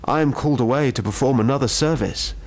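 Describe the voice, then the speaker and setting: A man's voice: mid-range voice, fast talker, voice pushed up, lightly acted. A man voicing a grave, protective temple priest who speaks in solemn warnings, in a ghost story. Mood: grave concern.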